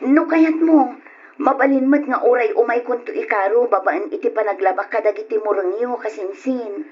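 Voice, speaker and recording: A woman's voice: a little high, moderate pace, breathy edge, muffled recording. A woman with an Ilocano accent playing a poor, worn-down, pleading mother in an old radio drama. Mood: pleading, anxious.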